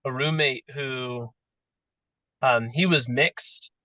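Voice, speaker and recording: A man's voice: medium pitch, slow, pushed and bright, dry recording. A young man chatting casually as a student in a discussion over a dull video call, hesitant and trailing off. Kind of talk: casual chat.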